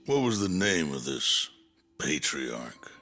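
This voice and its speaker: A menacing underworld figure with an extremely deep, gravelly voice. He is terse and deliberate, drawing words out slowly in a video game dub, under loud music. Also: very animated, exaggerated voice. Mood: cool curiosity.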